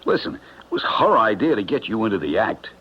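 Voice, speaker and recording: An actor playing a desperate, pleading small-time con man in a radio drama, with a husky voice. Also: fairly low pitch, sing-song, brisk. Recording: muffled, lo-fi radio drama recording.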